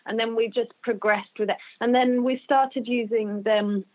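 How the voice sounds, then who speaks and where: A woman's voice: on the high side, fairly even intonation, moderate pace. A woman performer in casual interview chat, giggly, acting out what others said. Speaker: physical comedy performer. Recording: tinny phone-like line.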